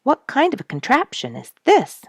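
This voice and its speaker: Woman voicing an excited, curious storybook boy, raised pitch. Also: moderate pace, no room sound, clean studio sound, full character voice. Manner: exclaiming, inquisitive.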